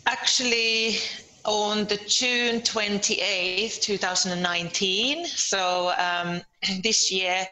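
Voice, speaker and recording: A woman's voice: medium pitch, slow pace, pushed and bright, slight background noise, low-quality recording. A middle-aged woman from Finland, talking conversationally as an interview guest. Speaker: podcast guest, entrepreneur.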